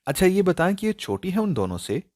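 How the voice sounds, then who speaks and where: A man's voice: high voice, big pitch swings, a little fast, darkish tone, no room sound, clean studio sound, pitched above the performer's own voice. A man voicing a curious, surprised woman guest in an audio story, with an inquisitive, friendly manner.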